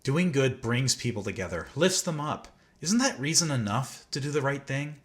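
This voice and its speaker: A man's voice: mid-range voice, very animated, normal speed, close to an everyday voice. Opinionated, skeptical humanist played by a man. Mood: earnest conviction. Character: skeptical, opinionated atheist man doing humanist charity work. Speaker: video game character. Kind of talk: naturalistic video game dialogue.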